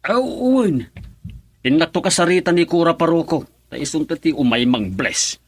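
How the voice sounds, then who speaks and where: A man's voice: on the high side, lively intonation, normal speed, husky. A man playing an easygoing middle-aged father in an Ilocano radio drama, with an Ilocano accent. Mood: cheerful.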